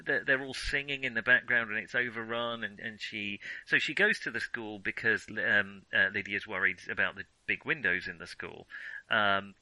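A man's voice: on the low side, steady tone, normal speed, pushed and bright, dry recording, rough recording. A man co-hosting a casual film discussion, rambling and hesitating as he thinks aloud. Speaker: podcast co-host.

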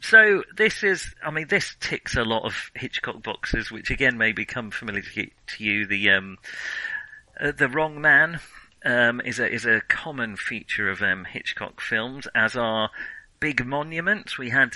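A man hosting a podcast and casually discussing films, in a halting, hesitant way that often doubles back on itself. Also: mid-range voice, animated, pushed and bright, dry recording, rough recording. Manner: halting, hesitant, frequent self-interruptions. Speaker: podcast host.

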